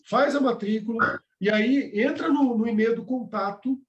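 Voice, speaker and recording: Instructor, male, high-pitched, conversational explainer, echoey room. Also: moderate pace, muffled recording. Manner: conversational, explaining step by step with frequent "tá?" checks. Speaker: course instructor. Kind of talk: live online class with course announcements.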